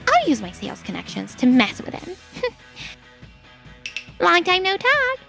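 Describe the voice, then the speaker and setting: A woman playing an arrogant, scheming young saleswoman in an animated manga dub, haughty and self-justifying, with music behind her. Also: very high voice, slow, vocal fry, full character voice. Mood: smug scheming. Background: background music.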